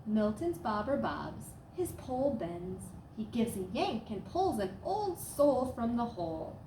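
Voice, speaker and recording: Animated, high-voiced woman reading a storybook. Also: unhurried. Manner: expressive, dramatic emphasis. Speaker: staff storyteller. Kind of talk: animated children's storybook read-aloud. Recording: roomy echo, clear background noise.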